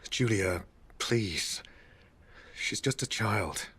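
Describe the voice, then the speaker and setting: An actor playing a hesitant, gentle scientist in a game, with a husky voice and halting, measured delivery. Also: male voice, fairly low pitch, lively intonation, exaggerated voice. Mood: pleading.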